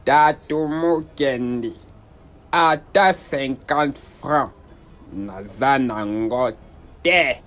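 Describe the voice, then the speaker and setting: A performer voicing a loud, exasperated cartoon character with a drawn-out, emphatic delivery. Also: mid-range voice. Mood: insistent. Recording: loud music behind the voice.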